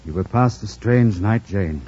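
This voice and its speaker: An actor playing a troubled, commanding gentleman in a mid-century radio drama, with intense, theatrical, formal diction. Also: on the low side, a little slow, natural voice. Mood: tender, probing. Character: brooding, commanding, troubled gentleman master of a house. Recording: muffled, noisy old radio broadcast.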